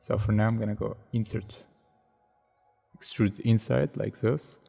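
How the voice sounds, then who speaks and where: A man narrating a software modeling tutorial in non-native English phrasing, unhurried and thinking aloud step by step. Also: on the low side, steady tone, vocal fry, slightly rough, faint music behind.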